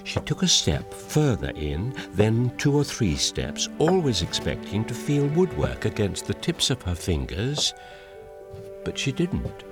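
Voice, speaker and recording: An actor playing a gentle, measured storybook narrator in a scripted audio drama. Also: male voice, on the low side, huge pitch swings. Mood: gentle storytelling. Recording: music under narration.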